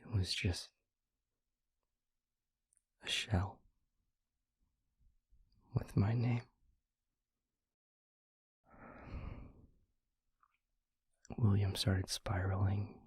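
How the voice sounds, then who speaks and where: A man playing a tender, haunted male vampire boyfriend in an intimate audio roleplay, speaking haltingly and earnestly in a breathy, husky hush. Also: sits low, fairly even intonation, no room sound, studio quality, heavily acted. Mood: haunted, sorrowful. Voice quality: breathy, husky near-whisper. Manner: halting, hushed, earnest delivery with heavy pauses.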